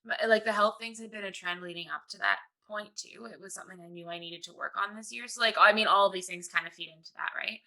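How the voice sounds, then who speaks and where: A woman guest chatting casually, with a calm, soothing voice and a rambling, self-deprecating, giggly manner. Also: medium pitch, fast talker, gravelly, few pauses. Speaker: podcast guest. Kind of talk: casual chat.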